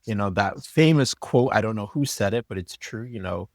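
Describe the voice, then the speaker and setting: A man's voice: lowish voice, lively intonation, normal speed, no room sound, studio quality. A man chatting casually as an interview guest, explaining things as a story editor would.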